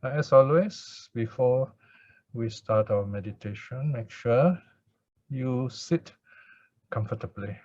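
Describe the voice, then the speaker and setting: A man speaking as a Buddhist meditation teacher, in gentle, unhurried devotional chanting or guided instruction with pauses. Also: medium pitch, animated, no echo. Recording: dull lo-fi recording.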